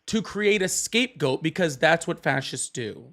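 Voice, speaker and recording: A man's voice: fairly high pitch, expressive, moderate pace, fairly bright tone. A man in his late thirties hosting a live political stream, firmly correcting his guest and citing data. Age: 30s. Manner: firm, corrective, cites data. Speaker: host.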